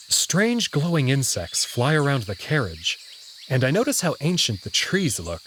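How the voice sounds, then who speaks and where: A man's voice: medium pitch, a little hiss or hum. A man narrating a scripted first-person story as its main character. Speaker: narrator and reader of the player character.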